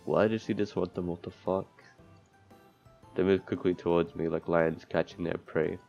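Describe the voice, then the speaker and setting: Deep, gravelly man reading a visual novel. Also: steady tone, moderate pace, a little mellow. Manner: crude, profane, sardonic asides. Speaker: streamer playing a visual novel. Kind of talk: let's play, reading game text aloud with commentary. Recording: dull lo-fi mic, music behind. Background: game music.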